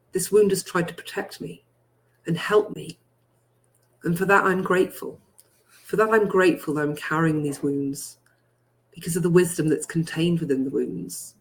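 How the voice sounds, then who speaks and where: A person leading a guided healing meditation, speaking deliberately in repeated first-person affirmations with emphatic phrasing. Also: medium pitch. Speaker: guided healing session leader.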